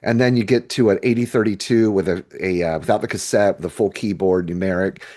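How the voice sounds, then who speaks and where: Middle-aged American male co-host, lower register, informal and conversational, thinks aloud. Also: fairly even intonation, brisk, darkish tone. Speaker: podcast co-host. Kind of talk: casual discussion.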